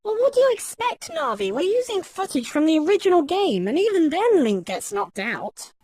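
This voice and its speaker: A woman's voice: extremely high pitch, big pitch swings, moderate pace, vocal fry, darkish tone, no room sound, studio quality, exaggerated voice. A woman voicing a mysterious male warrior guide, bossy and dryly explanatory, in a comedic video game parody dub. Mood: matter-of-fact.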